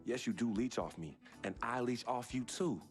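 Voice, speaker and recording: A man's voice: mid-range voice, moderate pace, close to an everyday voice. An actor playing a loyal young basketball star in a video game drama, speaking earnestly and firmly to his sister. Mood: confrontational. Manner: earnest, firm, pleading. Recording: music under the dialogue.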